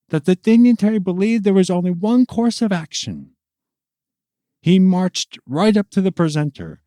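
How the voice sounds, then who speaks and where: A man narrating a scripted story as a dramatic storyteller, with measured, deliberate and theatrical phrasing. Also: mid-range voice, mellow, dry recording, clean studio sound.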